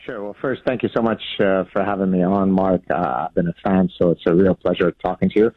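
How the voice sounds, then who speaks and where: An older man from Lebanon, a guest author telling his story in a measured way with many fillers. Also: deep voice, steady tone, dry recording. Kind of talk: radio interview. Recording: tinny phone line.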